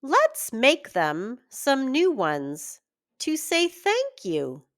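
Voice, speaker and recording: A woman's voice: sits high, huge pitch swings, extremely slow, pitched above the performer's own voice, full character voice. A woman voicing a kind, grateful shoemaker's wife in a children's fairy tale. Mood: caring, eager.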